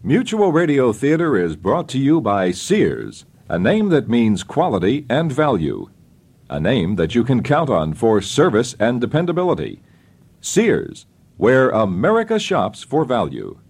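A man's voice: mid-range voice, wildly swooping pitch, measured pace, a little mellow, breathy edge. A man reading a scripted radio sponsor message as an announcer.

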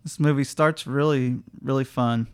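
A man's voice: mid-range voice, fairly even intonation, normal speed, smooth. A man from Indiana co-hosting a casual movie podcast, laid-back and joking, with an Indiana accent. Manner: laid-back, joking, self-conscious about his speech. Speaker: podcast co-host. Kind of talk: casual chat.

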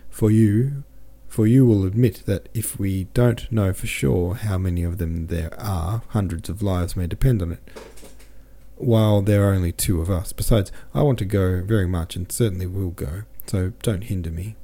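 A man's voice: low voice, normal speed, warm tone. A man reading an eager, shy but defiant sixteen-year-old count in a storybook, earnest and insistent.

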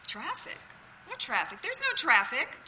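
Very high, bewildered, petulant driver. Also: female voice, wildly swooping pitch, a little slow, sharp tone, slight background noise, heavily acted. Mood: confused. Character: ditzy, confused, petulant woman driver. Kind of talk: live audio theater comedy acting.

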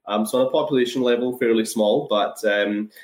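A man with a Scottish accent explaining things thoughtfully on a webinar, with measured, hesitant phrasing. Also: mid-range voice. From Scotland. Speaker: guest, anaesthesiology and intensive care resident. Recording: echoey webinar call.

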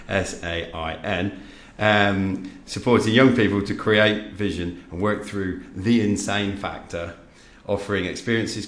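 A male podcast host with a very low voice who reads an introduction in a measured way and then puts questions to his guest. The room gives his voice a clear echo, with faint background hiss. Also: fairly bright tone. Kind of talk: reading an introduction and asking questions. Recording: echoey room.

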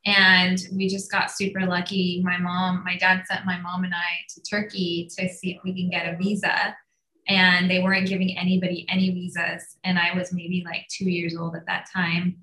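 Bright-voiced woman in a roomy room. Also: lowish voice, monotone, normal speed. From Iran. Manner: chatty, many fillers and restarts. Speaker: guest entrepreneur. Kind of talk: casual storytelling. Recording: roomy echo.

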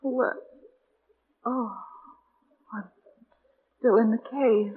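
A nervous, loyal detective's companion in a forties radio thriller. Her voice is fairly high and husky, full of anxious questions. The muffled old recording has long reverb. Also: fairly even intonation, drawn-out words, full character voice. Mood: rising terror. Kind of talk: 1940s radio mystery acting.

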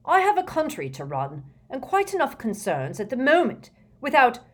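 A woman voicing a pompous, bewildered male Prime Minister in a storybook reading, halting and indignant. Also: on the high side, very animated, pitched above the performer's own voice, full character voice.

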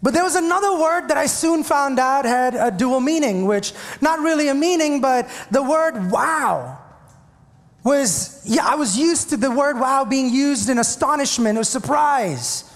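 A man's voice: extremely high pitch, lively intonation, measured pace, big-room sound. A man from India with an Indian English accent, a pastor giving a chatty, joking sermon to his congregation. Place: church sanctuary.

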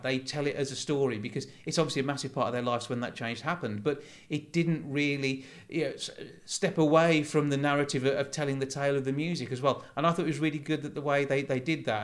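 A man's voice: mid-range voice, steady tone, fairly quick, few pauses. A man hosting a show, interviewing guests in a chatty way and steering with questions. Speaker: radio show host.

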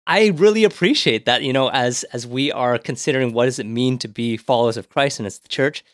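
A man's voice: medium pitch, fairly quick, dry recording, studio quality. A man hosting a podcast, informal and affirming as he interviews in a casual way. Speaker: podcast host.

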